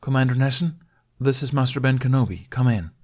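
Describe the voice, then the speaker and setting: A man acts out a Jedi master in a science fiction audiobook. He is calm and commanding, with formal, carefully enunciated phrases. The voice is raised and projected with steady authority. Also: mid-range voice, a little fast, pitched above the performer's own voice, natural voice. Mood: calm, businesslike. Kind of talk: acted audiobook dialogue in a science fiction story.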